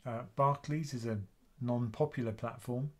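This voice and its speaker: A soft-spoken British man in his fifties answers viewers' questions live from a webcam at his desk. His delivery is casual and chatty, sitting in the middle of the usual range for a male voice, and it is recorded in a small room at home. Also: medium pitch, fairly even intonation, normal speed. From United Kingdom. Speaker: investing channel host. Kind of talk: live Q&A stream.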